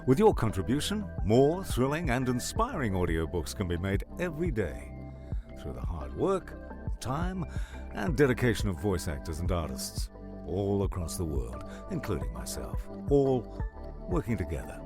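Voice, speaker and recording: A man reads a scripted promotional announcement with hugely animated intonation. His voice is fairly low, with music clearly playing behind it. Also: moderate pace. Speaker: voice actor.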